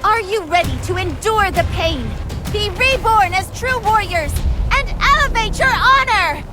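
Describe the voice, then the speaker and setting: An actress playing a solemn trial keeper who proclaims ceremonially about honor in a game cutscene. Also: female voice, very high voice, expressive, measured pace. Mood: fervent, proclaiming. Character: solemn female trial keeper serving a master, honor-obsessed. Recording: music behind the voice.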